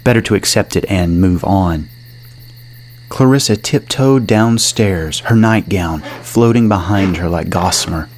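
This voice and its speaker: A man's voice: low-pitched, measured pace, a little mellow, slight background noise. A man reading scripted narration for a horror story. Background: music under the narration.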